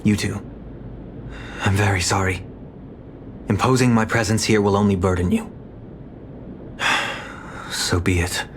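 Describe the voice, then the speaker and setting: Solemn, low-voiced young nobleman. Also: steady tone, slight background noise. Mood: remorseful. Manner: formal, measured, solemn. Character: grave, courteous, self-reproaching noble young man. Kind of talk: video game voice acting.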